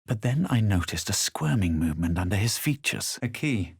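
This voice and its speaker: Low, solemn male fantasy adventurer. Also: animated, normal speed, husky, no echo, studio quality, exaggerated voice. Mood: solemn narration. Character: superior, self-assured male fantasy adventurer hero. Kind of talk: scripted video game voice lines, first-person narration.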